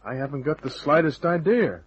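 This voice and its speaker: An actor playing a tough, sardonic hardboiled private detective in a radio drama, with clipped narration and dialogue. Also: male voice, fairly high pitch, lively intonation, moderate pace, muffled recording, slight background noise, lightly acted.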